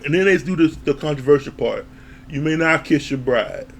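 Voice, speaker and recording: Animated man recapping a reality show. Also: a little high, normal speed, vocal fry, slight background noise. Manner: animated, laughs, acts out quoted lines, swears. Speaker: reality TV reviewer. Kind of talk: casual recap commentary.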